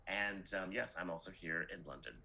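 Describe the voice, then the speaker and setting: A man's voice: sits low, brisk, creaky, pushed and bright, slight background noise. A young man from America talking casually and emphatically in a group conversation, informal and talkative. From United States. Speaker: student participant in a group discussion.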